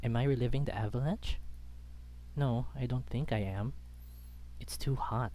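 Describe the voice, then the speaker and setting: A man's voice: medium pitch, moderate pace, mellow. A man reading a first-person story aloud as a dramatic narrator. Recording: background music under the voice. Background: music.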